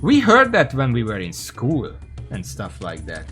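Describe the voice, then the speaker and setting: A man's voice: mid-range voice, wildly swooping pitch, measured pace, a bit bright, soft background music. A man from Hungary, giving casual, rambling, sweary live commentary as he streams a game and chats with viewers.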